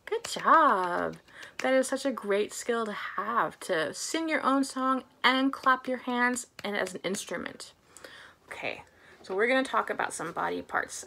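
A woman's voice: mid-range voice, vocal fry, a bit bright. A young adult woman presenting a storytime for small children as a librarian, speaking to them in simple, encouraging phrases with lots of questions.

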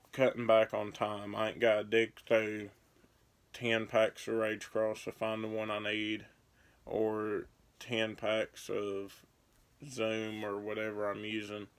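A man's voice: lowish voice, vocal fry. A young man, casually rambling through his fishing baits for a vlog, filmed on a phone in a small bedroom. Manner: rambling, laid-back, listing baits as he handles them. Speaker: fishing vlogger. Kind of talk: casual tackle show-and-tell. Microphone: phone camera at arm's length. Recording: crinkling plastic bait bags behind the voice.

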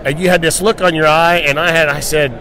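A man's voice: on the high side, fairly even intonation, a little fast, sharp tone, poor audio. A middle-aged man hosting a casual promotional livestock talk into a handheld microphone. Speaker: livestock show host. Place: indoor convention hall booth.

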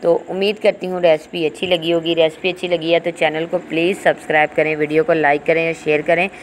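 A woman's voice: sits low, little pitch movement, fast, poor audio. A woman giving an informal, instructional recipe voice-over as a cooking host. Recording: home recording with clear background noise.

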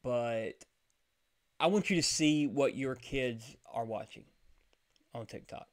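A man's voice: medium pitch, slow pace. A man talks casually over clips he is reacting to. He speaks bluntly, with open irritation, in a mid-range voice. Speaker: commentary host.

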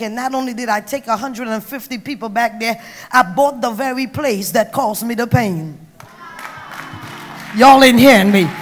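Emphatic woman preacher in a big hall. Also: sits high. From Trinidad. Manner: emphatic, dramatic swings from hushed to loud. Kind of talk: sermon. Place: large banquet hall on stage. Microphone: handheld mic. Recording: long hall reverb.

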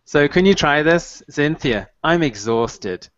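A man's voice: a little high, lively intonation, a bit bright. A man in his thirties teaching an online English mini-lesson, patiently modeling phrases over a headset on a video call. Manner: clear, patient, encouraging, models phrases slowly. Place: small room at home, video call. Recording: dull, dry video-call audio.

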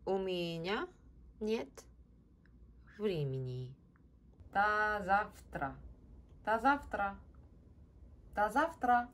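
A woman's voice: mid-range voice, lively intonation, very slow, long pauses, fairly bright tone. A young woman with a Korean accent reading aloud carefully, word by word, as an ASMR practice session at a desk at home. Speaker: ASMR creator.

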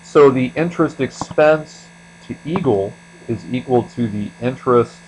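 A man giving an explanatory screencast lecture as an instructor, speaking deliberately and letting the ends of phrases trail off. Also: medium pitch. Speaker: accounting instructor. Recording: steady mains hum behind voice.

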